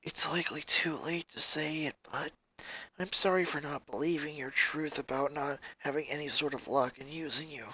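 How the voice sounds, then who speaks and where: A man voicing a timid, guilt-ridden eight-year-old emo girl from a story, in a subdued, halting, apologetic way. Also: a little high, steady tone, sharp tone, husky, pitched below the performer's own voice, poor audio. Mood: sorry, defeated.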